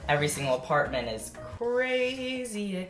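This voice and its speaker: A man's voice: high-pitched, very animated, moderate pace, fairly bright tone, faint music behind. Rambling teen guy in an echoey kitchen. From United States. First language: Japanese. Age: late teens. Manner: rambling, carefully articulated words. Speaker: YouTuber. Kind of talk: casual baking vlog chat. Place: home kitchen.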